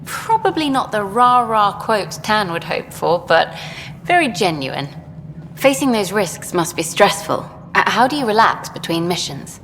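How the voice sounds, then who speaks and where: A woman's voice: mid-range voice, sing-song, unhurried, a bit bright, a little hiss or hum. A chatty, upbeat female documentary maker with a mid-range voice. Her lines have a long reverb over faint ambience.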